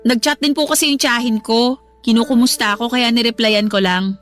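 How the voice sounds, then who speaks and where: Woman acting a high-voiced, meek young Filipino mother. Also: steady tone, a little fast, fairly bright tone, soft background music, natural voice. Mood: flustered, polite. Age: young adult. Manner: polite, apologetic, protesting. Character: meek, defensive young mother and daughter-in-law. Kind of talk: Tagalog radio drama acting.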